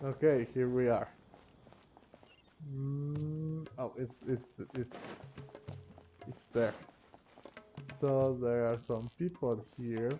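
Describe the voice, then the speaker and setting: A man's voice: mid-range voice, fairly even intonation, very slow, pauses often, warm tone, dull audio, faint music behind. A man giving casual playthrough commentary on a game, thinking aloud hesitantly and repeating phrases. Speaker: gaming content creator.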